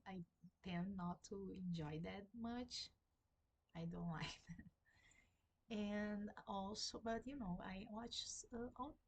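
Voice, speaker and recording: Slow, husky woman with a Portuguese accent. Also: medium pitch, low-quality recording. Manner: hesitant, many fillers and restarts, soft laughs. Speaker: book vlogger. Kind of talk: casual chat to camera. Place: at home in sunlight.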